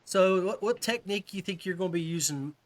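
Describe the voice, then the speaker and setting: A man's voice: sits high, brisk. A man hosting a fishing podcast in casual chat, talking informally like a buddy. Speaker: podcast host.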